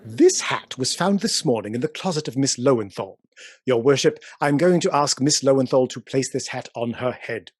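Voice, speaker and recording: A man's voice: medium pitch, expressive, normal speed, slightly rough, no echo. A man playing an aggressive, self-assured police inspector questioning formally in a period courtroom radio play. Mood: formal, confident. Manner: formal, pressing, theatrical.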